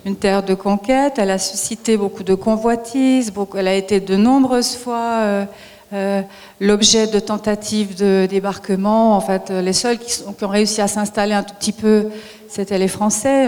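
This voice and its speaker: A woman's voice: mid-range voice, fairly even intonation, darkish tone. Hesitant woman speaking in a hall. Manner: hesitant, many fillers, slow and searching. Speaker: panel guest, author. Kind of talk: public talk answer. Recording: reverberant hall.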